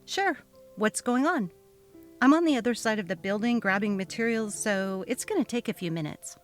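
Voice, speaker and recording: A woman's voice: mid-range voice, lively intonation, moderate pace, faint music behind, close to an everyday voice. A woman voicing a polite, guarded female office worker in a dramatically read story, restrained and plain-spoken. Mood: startled. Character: polite, guarded female office employee under a bullying boss. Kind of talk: dramatic reading of a text-message story.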